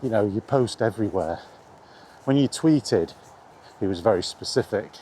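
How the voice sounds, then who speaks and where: Mellow British man, casual and rambling. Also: lowish voice, lively intonation, moderate pace. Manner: informal, meandering, mildly sweary. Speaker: video maker chatting about video games. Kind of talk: casual rambling vlog chat. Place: outdoors in the rain, walking. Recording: outdoor ambient noise, faint.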